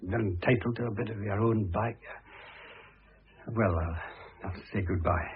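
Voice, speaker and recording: An actor with a British accent playing a gruff, wounded middle-aged ex-husband in a vintage radio drama. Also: male voice, fairly low pitch, measured pace, natural voice. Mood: rueful, huffy. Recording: muffled, phone-like old radio recording.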